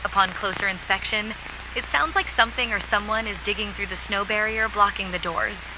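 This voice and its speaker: A woman's voice: mid-range voice, fairly quick, noisy background, lightly acted. A woman playing a stranded survivor keeping an audio diary, speaking in a wry, reflective way. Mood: uneasy. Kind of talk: analog horror audio diary acting.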